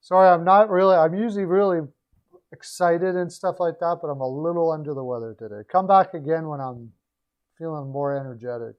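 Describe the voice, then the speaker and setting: A man hosting a live hockey chat stream, hoarse and losing his voice, talking in a low-energy, hesitant way. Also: fairly quick. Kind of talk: casual hockey chat with viewers. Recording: dull lo-fi stream audio.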